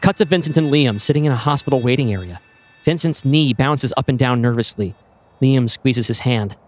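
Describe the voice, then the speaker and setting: A man narrating a scripted screenplay in the present tense, with measured, descriptive storytelling. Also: medium pitch. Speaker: narrator and host.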